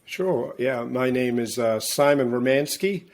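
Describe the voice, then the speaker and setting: A man in his thirties from England with an English accent, a guest talking casually in a halting way, full of restarts and fillers, over a video call from home. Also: medium pitch. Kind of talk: casual interview. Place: home room, indoors.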